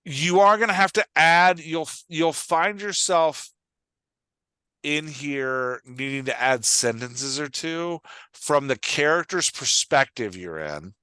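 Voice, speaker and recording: Guy podcast host coaching a writer, bright punchy voice, super dry sound, explains stuff and keeps checking you get it. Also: on the high side, takes it slowly, smooth, no echo. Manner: instructive, thinks aloud, often checks for understanding. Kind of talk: conversational coaching, explaining writing craft.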